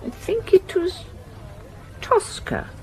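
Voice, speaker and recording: An actress playing a middle-aged inspector's wife in a radio drama, observant, frank and knowing. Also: female voice, very high voice, wildly swooping pitch, takes it slowly, some chatter behind, a little hiss or hum, exaggerated voice, rough recording.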